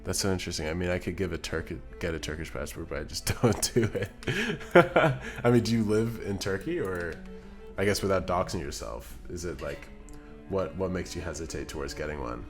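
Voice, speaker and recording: A man's voice: sits low, normal speed, faint music behind. A young man from Scotland chatting casually on a live stream, muttering to himself as he works at his computer. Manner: relaxed, muttering to himself while working, reading chat aloud. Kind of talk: casual live-stream chat while editing. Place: home streaming room. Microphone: desk microphone.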